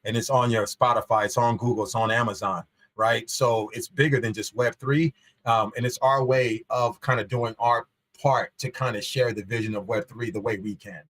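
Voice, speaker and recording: A man's voice: medium pitch, fairly even intonation, normal speed, hardly any pauses, fairly bright tone. Laid-back, slangy man. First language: Shona. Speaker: podcast guest. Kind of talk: casual podcast chat.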